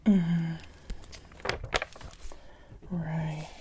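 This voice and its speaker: A woman speaking very softly and breathily, often in a whisper, as a gentle, encouraging interviewer in an ASMR roleplay. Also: low-pitched, little pitch movement, very slow, slightly rough. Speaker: ASMR roleplayer playing a restaurant job interviewer.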